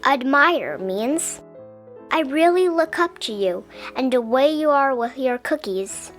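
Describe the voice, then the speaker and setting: A woman reading a children's picture book aloud in a gentle, deliberate, expressive way. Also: high voice, faint music behind. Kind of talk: children's storybook read-aloud.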